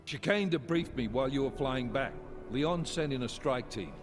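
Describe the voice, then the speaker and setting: A man's voice: mid-range voice, moderate pace, lightly acted. A man playing an exasperated task-force commander in a video game, with a blunt manner. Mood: stern, concerned. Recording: music behind the voice.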